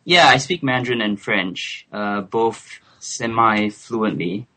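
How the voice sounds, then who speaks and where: A man in his twenties from Singapore, a guest on a remote call, speaking thoughtfully with soft laughs and hesitant fillers. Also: fairly low pitch, slow. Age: 20s. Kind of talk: relaxed interview chat.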